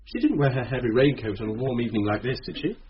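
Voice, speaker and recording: A man's voice: mid-range voice, big pitch swings, quick pace, lightly acted. An actor playing a suspicious, questioning younger man with a British accent in a radio drama. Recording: muffled old radio recording, hiss and clicks.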